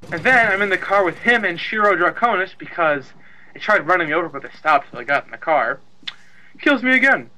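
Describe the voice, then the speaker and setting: A man's voice: high voice, pushed and bright, rough recording. A man chatting and telling stories casually while gaming, with a wry, mock-exasperated manner. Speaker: video game player. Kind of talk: casual gaming chat, storytelling. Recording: clear engine noise behind. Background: game vehicle engine noise.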